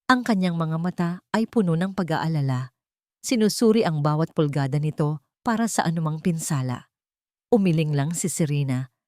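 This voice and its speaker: Low-voiced woman narrating drama, dry close sound. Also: lively intonation, moderate pace, mellow, no echo. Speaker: story narrator. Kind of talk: scripted dramatic narration of a romance story.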